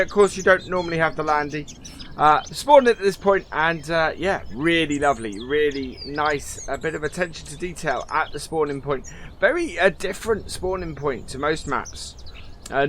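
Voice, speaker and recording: A man's voice: fairly high pitch, fairly bright tone, slight background noise. A man casually commentating a farming game as he explores it, upbeat and full of ums and uhs. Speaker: gaming channel host.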